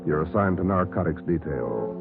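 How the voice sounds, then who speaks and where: A man's voice: low-pitched, animated, normal speed, gravelly. A man with an American accent delivering dramatic, clipped scripted narration as a 1950s radio announcer. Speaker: series announcer. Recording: muffled old radio broadcast with music.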